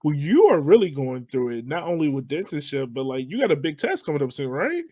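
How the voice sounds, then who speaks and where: Animated male podcast host, higher voice. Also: a little fast, dry recording, clean studio sound. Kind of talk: casual interview chat.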